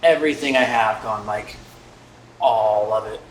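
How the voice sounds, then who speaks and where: A man talking casually and haltingly, as a participant in a conversational role-play. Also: fairly low pitch, rough recording. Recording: noticeable ambient background noise.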